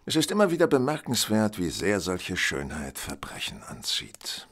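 An actor playing an assured, keen-witted gentleman detective in an audio drama, speaking in a theatrical, deliberate way. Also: deep voice, wildly swooping pitch, heavily acted. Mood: musing, fascinated. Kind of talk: German audio drama acting.

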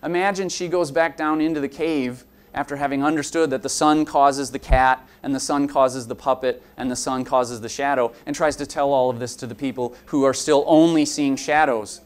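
A man's voice: mid-range voice, steady tone, normal speed. A young man, a college instructor, giving an earnest, explanatory lecture to students and gesturing as he talks. He is picked up by a camera from across a conference room.

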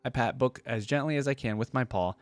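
A man reading aloud as a gentle magical cat narrating a storybook tale, measured and expressive. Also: medium pitch, no room sound, lightly acted. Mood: wistful, tender.

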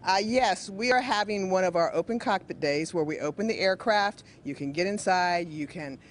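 A woman's voice: fairly low pitch, moderate pace, slight background noise. A middle-aged woman museum guide answering a TV reporter's questions in a friendly, explanatory way inside a large hall. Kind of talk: interview answers. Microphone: reporter's handheld mic.

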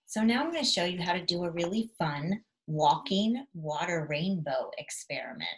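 A woman's voice: fairly low pitch, lively intonation, normal speed. A woman in her thirties, a teacher explaining an activity to young children in simple, direct instructions at home. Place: small indoor rooms at home, living room and kitchen. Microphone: device camera at about arm's length or a little further.

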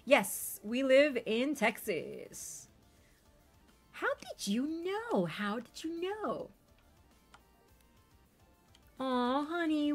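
A woman streamer whose first language is not English, chatting excitedly with raiders and telling stories quickly. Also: high-pitched. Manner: excitable, bubbly, quick storytelling. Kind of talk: casual stream chat.

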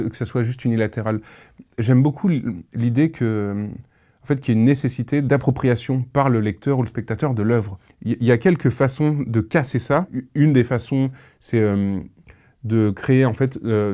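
A man in his thirties speaking reflectively in an interview, halting and thoughtful, on a lapel mic. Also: medium pitch, mellow. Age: 30s. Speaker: interviewee, audio fiction creator.

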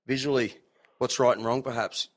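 An Australian man hosting a podcast, chatting in a halting way and often repeating himself. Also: medium pitch, steady tone, fairly bright tone. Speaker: podcast host. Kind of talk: casual chat.

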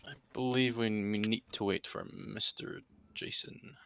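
A measured male supervisor acted by a man in an online roleplay game. His voice is gravelly with a sharp edge, polite and instructive, over a dull lo-fi voice chat. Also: fairly low pitch, huge pitch swings, slight background noise, heavily acted. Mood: polite, dry. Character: measured male senior legal colleague supervising a trainee.

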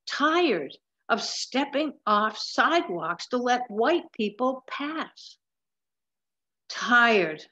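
A woman in her seventies reading a picture book aloud in a deliberate way, with emphatic pauses. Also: medium pitch, a bit bright, muffled recording. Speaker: storybook reader. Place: large room at home with wooden ceiling, indoors.

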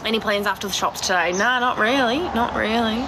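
A blunt Australian woman in her twenties with a naturally deep voice, chatting casually on a live stream from her phone and swearing freely. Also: a little fast, background noise. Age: 20s. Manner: blunt, swears a lot, laughs easily. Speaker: cattle farmer vlogger. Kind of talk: casual live stream chat answering comments. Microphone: phone at arm's length.

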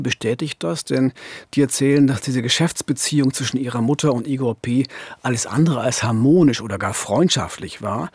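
A man in his fifties with a slightly husky voice co-hosts true-crime storytelling in a conversational way, close on a studio microphone. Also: mid-range voice, darkish tone, no echo. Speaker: true-crime podcast co-host. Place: dark radio studio. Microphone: studio microphone on boom arm, headset monitoring.

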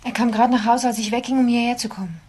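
A woman's voice: a little high, fairly even intonation, very fast, mellow, natural voice. An actress playing a distressed teenage girl in a radio crime drama, pleading and terse. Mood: shaken. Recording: noisy radio drama, background ambience.

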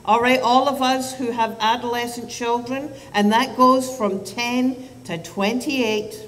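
Scottish woman, 60s, physician speaking at a lectern, animated, distant mic, echoing hall. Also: on the high side, unhurried, a little hiss or hum. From Scotland. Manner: animated, gestures with both hands, asks the audience questions. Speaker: physician giving a public talk on children's anxiety. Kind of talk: public lecture to parents. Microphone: lectern microphone. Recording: roomy hall sound from a distance.

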